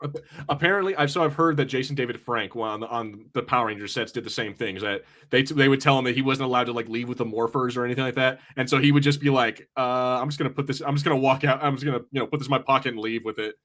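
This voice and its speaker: Male podcast co-host, rapid-fire delivery, enthusiastic and sweary casual film chat. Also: mid-range voice, fairly bright tone. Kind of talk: casual chat about films.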